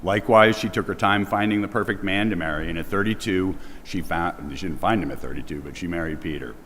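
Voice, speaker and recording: Deep-voiced man eulogizing in an echoing church. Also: fairly quick, fairly bright tone. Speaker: eulogist at a memorial. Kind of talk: prepared eulogy read aloud. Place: large church, speaking to a gathering. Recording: echoey church hall, faint background noise.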